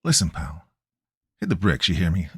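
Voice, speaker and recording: A man voicing a gruff, fed-up tough guy who talks bluntly and curses. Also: low-pitched, big pitch swings, normal speed, fairly bright tone, dry recording, clean studio sound, heavily acted. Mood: angry. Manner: blunt, hostile, cursing.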